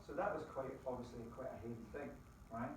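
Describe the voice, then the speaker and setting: A middle-aged Scottish man giving a lively motivational talk to a live audience, quizzing the crowd with folksy asides. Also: medium pitch, fast, noisy background. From Scotland. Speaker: business opportunity presenter. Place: presentation room with an audience. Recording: very echoey room, distant mic.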